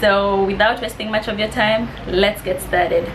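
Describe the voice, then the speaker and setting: A woman's voice: medium pitch, fairly even intonation, unhurried, background noise. A woman of about twenty from East Africa, chatting in a friendly, slightly nervous way as she answers get-to-know-me questions. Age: 20s. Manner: friendly, slightly nervous, reading questions aloud with soft laughs. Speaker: vlogger. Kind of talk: casual Q&A vlog.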